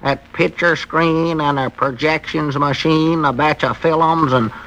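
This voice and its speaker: An actor playing a plainspoken, needling country storekeeper with a rural Southern drawl in a 1940s radio comedy serial. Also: male voice, on the high side, steady tone, a little slow, rough recording. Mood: matter-of-fact. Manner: rustic drawl with homespun grammar. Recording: bright, thin 1940s radio recording.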